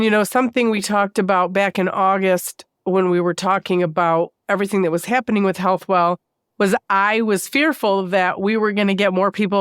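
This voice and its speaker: A woman's voice: mid-range voice, clean tone, no room sound, clean studio sound. A woman hosting a podcast interview, sounding congested from a bad cold and asking earnest, concerned questions. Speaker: podcast host.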